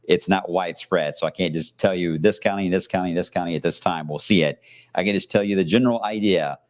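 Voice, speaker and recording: Low-voiced chatty TV weatherman. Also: brisk. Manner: loose, chatty delivery with fillers and jokes. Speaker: TV meteorologist. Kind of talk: live weather forecast on location. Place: outdoors at a shopping outlet.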